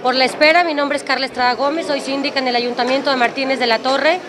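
A Mexican woman in her thirties making a statement to the press as a local official, pausing between short phrases. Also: sits high, fairly even intonation, noisy background. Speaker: municipal official speaking to press. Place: crowded indoor room. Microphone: press microphones on table. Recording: busy crowded press conference room.

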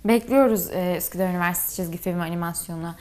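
A woman's voice: on the low side, racing, darkish tone. A woman hosting a broadcast interview in a conversational, deferential and agreeable way. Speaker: programme host, interviewer.

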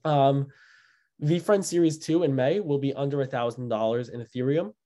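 A man's voice: medium pitch, moderate pace, mellow. A young man hosting an online session, talking loosely with many ums and restarts while presenting and taking questions. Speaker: host of an educational webinar. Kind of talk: presenting and answering questions on a video call. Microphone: video call microphone.

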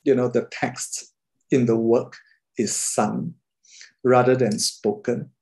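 A Singaporean man, an artist, talking thoughtfully with many pauses and fillers on a video call. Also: medium pitch, flat delivery, creaky, a little mellow. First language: Mandarin.

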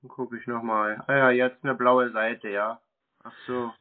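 A man's voice: mid-range voice, level tone, a little slow. A man chatting casually on the phone in a laconic, plain-spoken way, over a narrow telephone line. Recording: narrow-band telephone call.

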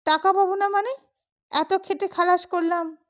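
Woman doing a meek money-minded court clerk guy in an audio story, high voice, politely protesting. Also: fairly even intonation, moderate pace. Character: meek but grasping male court clerk.

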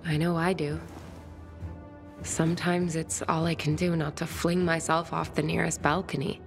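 An actress playing a bookish, flirtatious woman survivor in a video game, speaking in articulate, measured phrases. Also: deep voice, little pitch movement, creaky, soft background music. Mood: thoughtful, curious. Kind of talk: video game cutscene acting.

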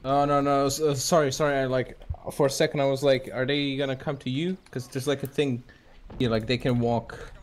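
A man chatting casually during a co-op video game, often in halting, hesitant phrases. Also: medium pitch, level tone, a little hiss or hum. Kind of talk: casual co-op gaming chat.

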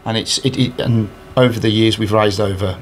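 A man's voice: lowish voice, fairly even intonation, moderate pace, slight background noise. A man, answering interview questions earnestly with many fillers and restarts, recorded indoors on a handheld recorder. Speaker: charity match organiser. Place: indoors in front of a sponsor board. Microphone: handheld recorder held by interviewer.

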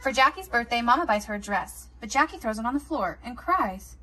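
A woman reads a children's storybook aloud with a lively, swooping delivery. Her voice is fairly high and a little husky, shaped for young listeners. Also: fairly quick, recorded from a distance. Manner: animated, acts out the characters' lines. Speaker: storybook reader. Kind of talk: expressive children's picture book read-aloud.

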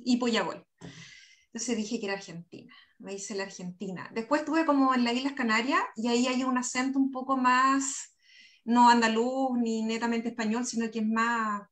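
High-voiced Chilean woman, chatting candidly. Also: steady tone, normal speed, fairly bright tone. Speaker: interview guest, lawyer. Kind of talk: casual conversational interview talk. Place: indoor room on a video call. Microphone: computer video-call microphone.

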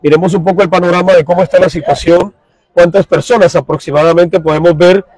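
A middle-aged man reporting live for radio from a crowded outdoor dock, speaking in a formal, measured way into a handheld mic. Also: sits high, steady tone, darkish tone, no echo. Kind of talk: live field report and street interviews. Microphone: handheld mic held close.

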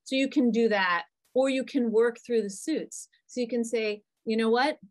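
A middle-aged woman, a psychologist, answering parents' questions in a lively, chatty way on a video call from a small room. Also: a little high, moderate pace. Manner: talkative, animated, answers questions from the chat. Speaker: psychologist presenting a parent webinar. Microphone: computer mic on a video call.

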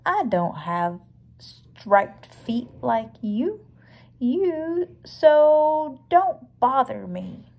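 A grumpy lady walrus from a storybook, read by a woman, curt and dismissive. She grumbles slowly in a creaky, husky voice. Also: sits high, sing-song, lo-fi sound, heavily acted.

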